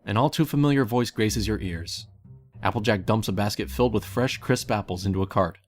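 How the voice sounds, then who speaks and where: A man narrates a scripted fan fiction story in a low voice. Faint music plays underneath his reading. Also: a little fast.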